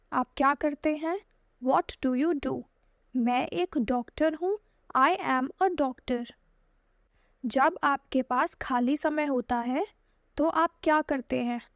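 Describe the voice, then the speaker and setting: Female language tutor, high voice, dead-slow deliberate delivery, dry close mic. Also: no echo. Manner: very slow, deliberate English, quicker Hindi. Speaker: language teacher. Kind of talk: slow drill reading of phrase pairs.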